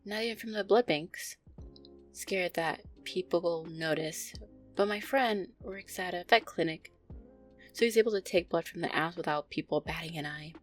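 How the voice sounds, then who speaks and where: A woman playing a gentle, anxious, affectionate vampire girlfriend in an intimate roleplay audio, speaking softly, tenderly and hesitantly. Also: lowish voice, moderate pace, soft background music, lightly acted. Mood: relaxed, reassuring. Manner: soft, tender, hesitant, sighing delivery.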